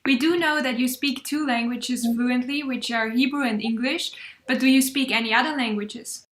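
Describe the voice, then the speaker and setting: Woman co-host, fairly high, echoey. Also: fairly even intonation, moderate pace. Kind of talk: casual interview chat. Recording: roomy echo.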